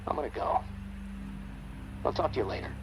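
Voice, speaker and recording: An actor playing a guilt-haunted, weary American soldier in a video game, halting and foul-mouthed as he confides. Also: background noise, lightly acted. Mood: weary, resigned. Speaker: survivor confiding in a friend over radio. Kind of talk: dramatic video game acting.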